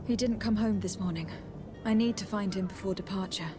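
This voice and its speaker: A woman's voice: medium pitch, brisk, over music, lightly acted. A woman playing a poised young noblewoman envoy in a video game, formal, composed and direct. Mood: polite, composed.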